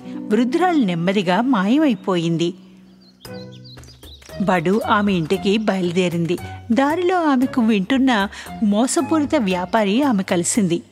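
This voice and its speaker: A woman narrator telling a scripted children's story in a clear storytelling manner. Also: mid-range voice, a little mellow.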